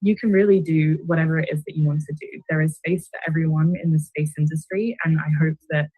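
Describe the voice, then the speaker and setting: Low-voiced woman, dry recording. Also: steady tone, fast, dark tone, no echo, low-quality recording. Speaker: astrophysicist. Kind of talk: testimonial to camera.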